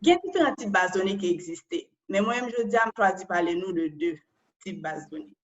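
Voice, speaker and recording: A woman's voice: fairly low pitch, animated, quick pace, dull audio. A Haitian woman and data analyst giving an online presentation, explaining step by step and often ending phrases with a questioning tag.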